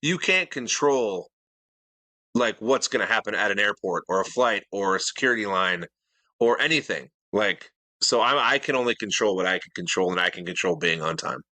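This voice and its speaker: A man's voice: fairly low pitch, lively intonation, normal speed, pushed and bright, no room sound. A middle-aged man co-hosting a casual podcast chat, earnest and detail-minded, often restarting his sentences. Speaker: podcast co-host.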